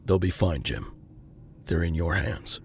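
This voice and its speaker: A man's voice: deep voice, fairly even intonation, unhurried, vocal fry, slight background noise. An actor playing a stern, protective masked vigilante in an audio drama, a man speaking in a grim, commanding manner. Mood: grave. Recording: loud music over the voice.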